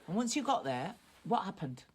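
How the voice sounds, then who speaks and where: A woman acting a probing, measured adult questioner in a naturalistic radio drama, plain-spoken. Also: mid-range voice, big pitch swings, darkish tone, slightly rough. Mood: probing, calm.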